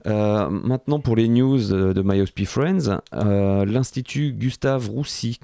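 A man's voice: fairly low pitch, a little slow, creaky, dark tone, no room sound. A man chatting as a panelist on a radio show, with wry joking asides. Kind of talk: casual radio panel chat. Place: radio studio.

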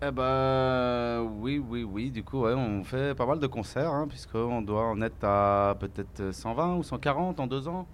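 A man's voice: mid-range voice, a little slow, few pauses. A man, a blues musician, chatting casually as a guest in a live interview. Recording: faint live venue background noise.

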